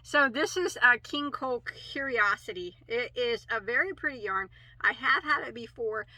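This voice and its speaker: A woman's voice: a little high, lively intonation, normal speed, bright, forceful tone, no echo, poor audio. A woman with a Midwestern American accent hosting a casual yarn haul review, chatty and rambling, often correcting herself. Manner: chatty, rambling, many fillers and self-corrections. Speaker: crochet channel host.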